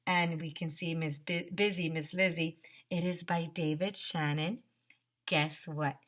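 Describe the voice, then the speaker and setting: A woman with a fairly low, bright voice reads a storybook aloud like a teacher in front of young children. She takes her time, stresses words expressively, and turns to the children with questions and asides. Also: a little slow. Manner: expressive, exaggerated emphasis, addresses children directly. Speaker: teacher reading a picture book aloud to children.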